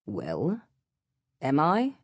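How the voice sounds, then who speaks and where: A woman's voice: sits low, wildly swooping pitch, very slow, no echo, heavily acted. A woman voicing a stern, irritated British man in an audiobook, with clipped, formal, biting speech. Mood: demanding. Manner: clipped, formal, scolding in hushed anger.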